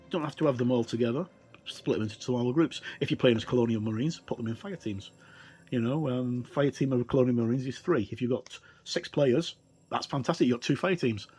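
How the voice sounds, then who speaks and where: A man's voice: medium pitch, darkish tone. A middle-aged man giving a casual, unscripted commentary as a reviewer, thinking aloud with hesitant, informal phrasing. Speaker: tabletop game reviewer. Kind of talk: casual commentary, talking through a rulebook.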